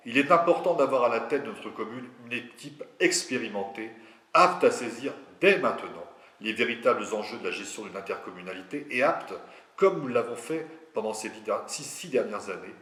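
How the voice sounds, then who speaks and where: Low-pitched Frenchman in an echoey room. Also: a bit bright. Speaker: local politician campaigning. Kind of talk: prepared political address.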